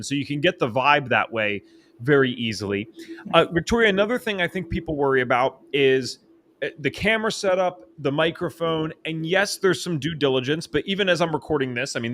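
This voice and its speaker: A man hosting a podcast interview from a home office, talking in an excitable, fast way. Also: mid-range voice, slight background noise. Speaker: podcast host. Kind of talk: casual interview chat. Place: home office, empty spare bedroom. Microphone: studio microphone.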